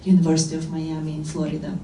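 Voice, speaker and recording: A woman from Kyrgyzstan asks a question from the audience, hesitating often. Her voice is low and mellow, and it sounds far off in an echoey room. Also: completely flat delivery, very fast, slight background noise. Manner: hesitant, many fillers. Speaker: visiting scholar in the audience. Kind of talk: asking a question from the floor.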